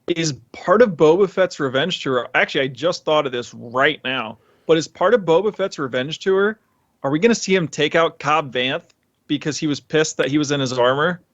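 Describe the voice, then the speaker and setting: A man's voice: on the high side, normal speed, a bit bright, no echo, highs cut off. A man speaking as a podcast co-host in casual, enthusiastic, rambling fan chat.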